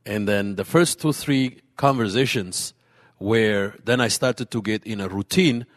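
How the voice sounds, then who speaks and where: Earnest halting pastor in a big hall. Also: male voice, fairly low pitch. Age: late 30s. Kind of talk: panel discussion answer. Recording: hall reverb.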